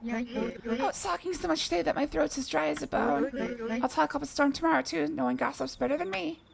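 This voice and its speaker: A woman's voice: high-pitched, brisk, gravelly, background noise, voice pushed up. A woman voicing a boastful village gossip who has talked all day. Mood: chatty. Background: game music.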